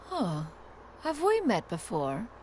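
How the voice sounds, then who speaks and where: Haughty immortal, grandly expressive. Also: female voice, medium pitch, slow pace, slight background noise. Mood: formal. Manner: pompous, archaic formal diction, calls herself "one". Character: proud, haughty immortal crane adeptus woman, grandly self-regarding. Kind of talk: video game voice acting.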